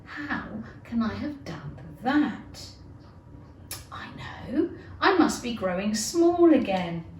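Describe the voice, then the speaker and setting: Woman acting a fretful, high-voiced little storybook girl. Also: big pitch swings, slow, darkish tone, reverberant, far from the mic, a little hiss or hum. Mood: dismayed. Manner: plaintive, dramatic, talking to herself.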